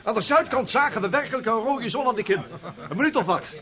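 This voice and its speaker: An actor playing a strict, commanding Dutch ship's skipper in a radio drama, briskly giving orders with authority. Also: male voice, extremely high pitch, expressive, a bit bright. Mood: earnest, excited.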